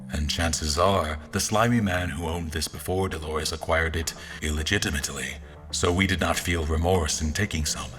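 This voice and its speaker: A man playing a formal royal officer who narrates an audio drama in the first person, in a measured manner. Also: low voice, fairly bright tone.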